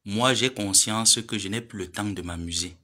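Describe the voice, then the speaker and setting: A man's voice: fairly low pitch, moderate pace, sharp tone. A man, lecturing and pleading in a social media live monologue. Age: 30s. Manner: preachy, lecturing, pleading then scolding.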